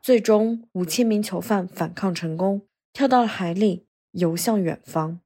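Mellow female podcast narrator. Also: medium pitch, a little slow, slightly rough. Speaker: podcast host. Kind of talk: scripted explanatory narration.

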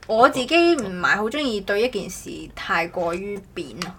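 A woman co-hosting a casual podcast, telling stories in an animated, rambling way. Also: mid-range voice, normal speed, slightly rough. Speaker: podcast co-host. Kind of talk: casual chat.

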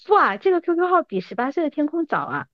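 A woman's voice: very high voice, big pitch swings, a little fast, no room sound, dull audio. A woman in her thirties talking casually as a podcast guest, with a teasing, playfully coaxing manner. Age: 30s. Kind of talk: casual chat between old friends.

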